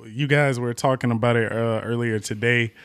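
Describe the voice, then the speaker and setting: A man's voice: mid-range voice, fairly even intonation, moderate pace, a bit bright, clear, resonant tone, no echo. A man hosting a casual chat in an informal, slangy way, repeating phrases for emphasis. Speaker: host.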